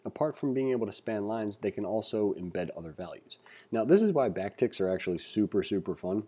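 A man giving a read-along tutorial as an instructor, reading aloud and adding informal asides as he thinks out loud. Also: on the low side, animated, brisk, slightly rough. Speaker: programming instructor. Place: indoors, screen-recording voice-over.

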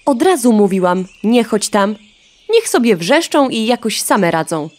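A woman's voice: on the high side, very animated, measured pace, darkish tone. A woman voicing a vain, flippant, rather dim gossipy hen in a children's audio play, sassy and offhand.

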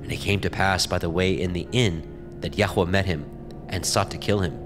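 A man narrating scripture from a script in a measured, solemn manner. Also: sits low, fairly even intonation. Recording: music behind the voice.

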